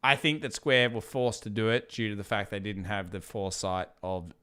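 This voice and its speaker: A man with an Australian accent and a lowish voice hosts a casual live trading stream. He rambles loosely between points, and his voice sounds worn from talking for hours. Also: fairly even intonation, normal speed, sharp tone, smooth. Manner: casual, rambling, reads viewer questions aloud. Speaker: trading channel host. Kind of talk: live stream market analysis.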